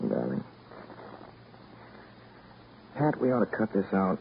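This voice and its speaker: A reserved, brooding man in a 1930s radio play. His low voice is measured and reflective, coming through a dull, hissy vintage recording. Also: sing-song, gravelly, exaggerated voice. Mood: reluctant, tender. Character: reserved, brooding New England man telling family memories. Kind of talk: 1930s radio drama acting and narration.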